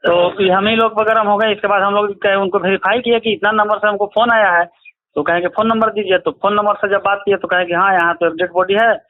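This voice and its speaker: A man with a Bihari accent speaks in a high-pitched voice over a tinny, choppy phone line. He recounts events in a halting, colloquial way, as in a phone interview. The sound is dry and narrow. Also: steady tone, no echo. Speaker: interviewee, relative of a crime victim. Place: phone call.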